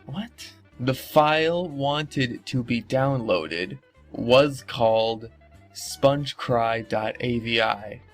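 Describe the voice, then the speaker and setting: A man's voice: medium pitch, very slow, soft background music. A man reading aloud and breaking off for sarcastic, incredulous, sweary commentary. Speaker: host reading and mocking internet horror stories.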